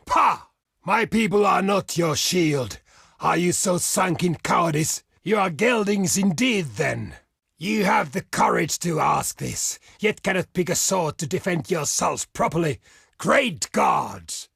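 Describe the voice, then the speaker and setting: A man acting a proud, blunt barbarian chieftain for a video game, with a gravelly, husky voice and a theatrical, declamatory delivery. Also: high voice, lively intonation, unhurried, a bit bright, no echo, clean studio sound, exaggerated voice. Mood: scornful, defiant. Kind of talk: video game character voice lines.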